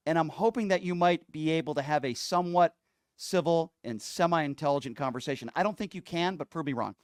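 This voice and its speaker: A man's voice: a little high, brisk, clear, resonant tone, no room sound, studio quality. A man hosting a political call-in radio show, scolding and sarcastic as he argues. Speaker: radio talk show host.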